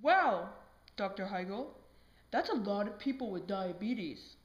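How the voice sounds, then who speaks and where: Woman as slow, earnest officer interviewer, echoey. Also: medium pitch, lively intonation. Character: female police officer interviewer, earnest and polite. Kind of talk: scripted mock TV interview. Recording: dull, echoey room recording.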